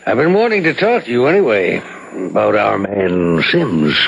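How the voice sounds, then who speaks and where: An actor playing a self-assured but cautious boss in a 1970s radio drama, with clipped, pausing phrases. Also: male voice, lowish voice, animated, vocal fry, a little hiss or hum. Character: gravelly, self-assured boss, cautiously probing his subordinate. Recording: 1970s radio broadcast recording.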